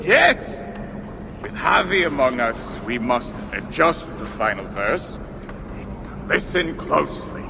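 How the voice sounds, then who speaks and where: An actor playing a boisterous, grandiose drunken dwarf poet in a video game, theatrical and declamatory. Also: male voice, fairly high pitch, big pitch swings, slow, exaggerated voice. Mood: exuberant. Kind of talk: video game cutscene acting. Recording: long hall reverb, clear background noise.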